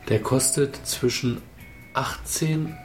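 A man's voice: mid-range voice, steady tone, takes it slowly. A man co-hosting a podcast, telling anecdotes in a casual, rambling, conversational way. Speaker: podcast co-host. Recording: roomy sound, faint music.

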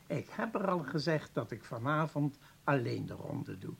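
An actor playing a kindly, self-deprecating old professor in a radio play, husky and halting, with a courteous manner. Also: male voice, medium pitch, very animated, full character voice. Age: older. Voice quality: husky, creaky.

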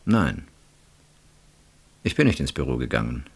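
An actor in a German radio play voicing a coolly ironic, probing husband with measured, drawn-out phrasing. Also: male voice, extremely low pitch, big pitch swings, breathy edge, heavily acted. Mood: controlled, measured.

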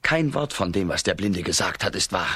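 Forceful, gruff peasant son. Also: male voice, deep voice, animated, brisk, exaggerated voice. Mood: gruff, resentful. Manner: blunt, stern. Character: gruff, hard, impatient grown peasant son. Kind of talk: German children's radio play acting.

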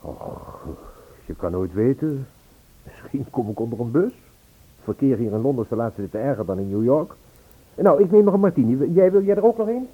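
Muffled radio drama, uneasy affectionate musician, husky, lively intonation, halting delivery. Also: male voice, medium pitch. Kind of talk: radio play acting. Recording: muffled, narrow old radio play recording.

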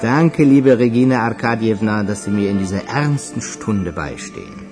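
An actor playing a suave, courtly, flattering gentleman in a radio drama. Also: on the low side, normal speed, music in the background, close to an everyday voice. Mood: charming, amused.

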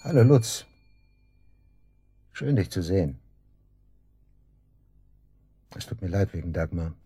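Smug freed suspect, low husky voice, mocking and casual, dry close radio drama sound. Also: male voice, animated, moderate pace, warm tone, dry recording, full character voice. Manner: mocking, feigned casualness, taunting. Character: smug, needling man just released from custody. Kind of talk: German radio drama acting.

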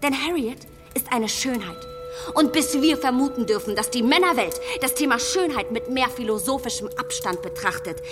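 A clever, headstrong young woman voiced by a woman, her voice a touch high. She argues back with spirit and defiance in a radio play. A long reverb and faint music sit behind her. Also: lively intonation, normal speed, natural voice. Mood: defiant.

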